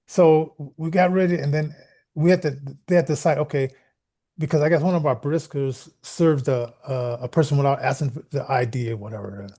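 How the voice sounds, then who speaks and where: A middle-aged American man telling anecdotes in casual chat, halting and restarting as he goes, getting animated when he quotes people. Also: on the high side.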